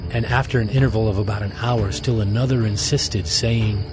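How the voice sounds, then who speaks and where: A man narrates a scripture drama in a lowish voice. His scripted reading is set over clear background music. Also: fairly even intonation, a little fast.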